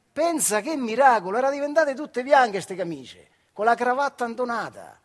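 Earthy Marche factory worker, high-pitched voice. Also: male voice, breathy edge, heavily acted. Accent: central Italian, Marche. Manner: colloquial, earthy, expressive. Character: working-class male factory worker recounting his memories. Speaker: stage actor performing a monologue. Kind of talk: theatrical dialect storytelling.

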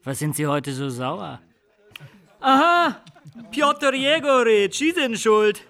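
High-pitched boisterous reveler. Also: male voice, very animated, slow, full character voice. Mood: teasing, rowdy. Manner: loudly declaiming, teasing, mock-solemn. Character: boisterous, mocking male wedding reveler, bossy and jovial. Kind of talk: radio play acting. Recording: noisy crowd of revelers behind.

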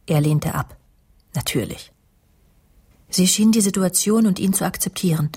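Casting: bitter middle-aged woman, breathy low voice, intimate confessional narration for radio crime play. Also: darkish tone, heavily acted. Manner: measured, confiding, often dropping to breathy murmurs. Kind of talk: German radio crime drama, narrated monologue and dialogue.

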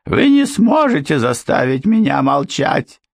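A man voicing a frightened middle-aged housekeeper in a high, strained, tearful voice. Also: very animated, measured pace, vocal fry, dry recording, studio quality, full character voice. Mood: alarmed. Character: anxious middle-aged female housekeeper, shrill, pleading. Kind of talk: audiobook character voice.

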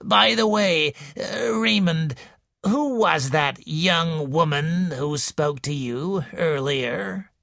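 A man voicing a heavy, domineering old woman who wheezes and speaks slowly and coldly in an audiobook reading. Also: a little high, vocal fry, fairly bright tone, dry recording, clean studio sound, pitched above the performer's own voice, full character voice. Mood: cold, controlling. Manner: slow, cold, controlling.

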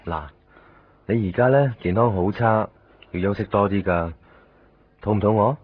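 A tinny, phone-like old radio recording of a calm male doctor, with a low, husky voice. He speaks Hong Kong Cantonese in a matter-of-fact bedside manner, as in a 1990s radio drama. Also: normal speed. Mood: calm, brisk.

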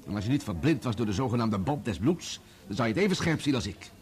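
An actor playing a cool, self-assured newspaper journalist in a Dutch radio play, firm and matter-of-fact. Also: male voice, medium pitch, expressive, fast talker, lightly acted.

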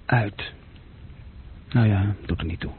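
An actor playing a young man's self-critical, brooding inner voice in a radio drama, with a gravelly tone. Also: lowish voice, takes it slowly, slight background noise. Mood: self-reproachful. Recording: muffled narrow-band radio play recording.

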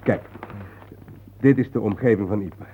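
A male actor in a Dutch radio play, playing a calm, enigmatic guide haunted by war, speaking in a measured, patient, cryptic way. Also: lowish voice, husky. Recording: noisy, thin phone-like old radio recording.

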